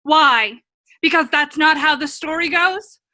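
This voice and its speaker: A woman's voice: sits high, a little slow, sharp tone. A woman playing a bold, rebellious fairy tale princess in a comedic radio play, earnest and declamatory. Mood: defiant, rousing.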